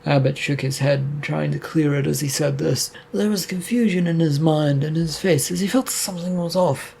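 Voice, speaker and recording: Gravelly man reading a story. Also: fairly high pitch, moderate pace, a little hiss or hum. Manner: steady, plain storytelling. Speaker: narrator.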